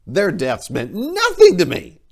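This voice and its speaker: An unearthly being from a horror tale, acted out by a male reader, distraught and remorseful yet chillingly calm. The speech is halting, earnest and formal, with big swings in pitch. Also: vocal fry, exaggerated voice. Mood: anguished, excited. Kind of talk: dramatic reading of a horror story.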